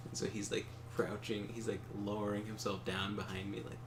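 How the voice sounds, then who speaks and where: Man, lowish voice, loose rambling storyteller, casual gaming-chat energy, roomy echo. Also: flat delivery, a little fast. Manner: rambling anecdotes with many fillers and laughs. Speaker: co-host of a game stream. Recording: roomy echo, faint background noise.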